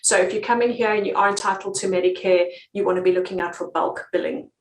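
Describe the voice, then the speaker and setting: A woman's voice: mid-range voice, steady tone, fast talker. Brief: British woman, relocation consultant, easygoing live-stream chat, echoey room. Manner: chatty, many ums, laughs easily. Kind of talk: live-stream advice reading viewer comments.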